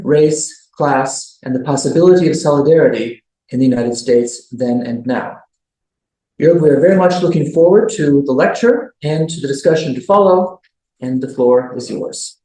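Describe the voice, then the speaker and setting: A man giving a formal introduction of a guest lecturer in a measured way, with filler ums. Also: a little high, dark tone, roomy sound, low-quality recording. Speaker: event host introducing the speaker.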